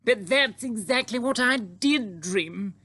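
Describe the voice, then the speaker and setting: A man voicing a regal older Queen in an audiobook, with an imperious, deliberate and commanding manner. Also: high-pitched, animated, creaky, bright, forceful tone, dry recording, voice pushed up, full character voice. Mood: shocked, insistent. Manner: imperious, drawn-out deliberate speech. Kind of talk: audiobook character acting.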